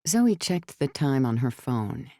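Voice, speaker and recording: A woman's voice: a bass voice, big pitch swings, takes it slowly, mellow, no echo, studio quality. A woman reading scripted third-person fiction narration as an audiobook narrator.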